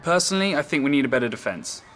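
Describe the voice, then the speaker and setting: A self-important teen jock in a video game. His tone is sharp, animated and condescending, with noise behind him. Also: a little high, very fast. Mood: smug. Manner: condescending, pushy. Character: smug, self-important teenage male athlete.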